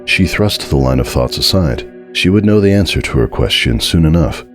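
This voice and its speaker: Very deep-voiced man narrating horror. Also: animated, moderate pace, music in the background. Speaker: narrator reading a fan horror story. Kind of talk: dramatic scripted narration.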